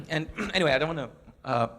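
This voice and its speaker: A hoarse man, a psychology professor, speaking fast and eagerly from the audience of a panel discussion with many restarts. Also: fairly high pitch, lively intonation, fairly bright tone. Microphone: handheld microphone.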